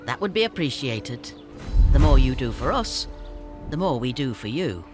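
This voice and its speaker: An actress playing a shrewd female scavenger leader in a fantasy game, brisk and businesslike with a teasing edge. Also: rumbling low, very animated. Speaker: game character. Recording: game audio with faint music.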